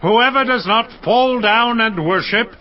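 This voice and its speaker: An actor playing a royal herald proclaiming a stern decree, formal and commanding, in a scripture drama. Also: male voice, very high-pitched, a little slow. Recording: dull band-limited audio.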